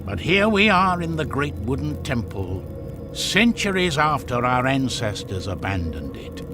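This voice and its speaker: A man voicing a wise, solemn shaman master in a video game, speaking gravely and deliberately to his pupil, with music behind. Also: on the low side, voice pushed down. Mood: remorseful. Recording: loud music under the voice.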